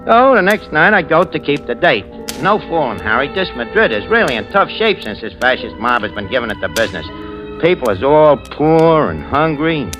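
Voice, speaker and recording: An actor playing a shifty, wisecracking New York crook who talks in streetwise slang, in 1940s radio style. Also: male voice, medium pitch, lightly acted, low-quality recording. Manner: streetwise slangy talk with bad grammar. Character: shifty, wisecracking American crook posing as a gentleman. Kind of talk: 1940s radio acting, first-person narration. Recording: old radio recording with music behind.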